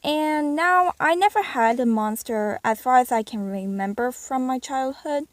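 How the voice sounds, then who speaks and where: A woman's voice: a little high, no echo. A young woman telling a story to her channel casually, rambling and breaking off with fillers. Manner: rambling, self-interrupting with fillers. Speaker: YouTuber.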